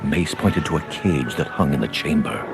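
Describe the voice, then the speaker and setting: A man's voice: very deep voice, animated, normal speed, vocal fry. A man reading a scripted children's adventure story as a dramatic narrator, over music.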